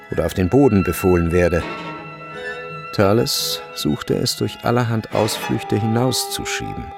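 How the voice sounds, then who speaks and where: Low, lightly gravelly male narrator. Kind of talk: literary radio drama narration.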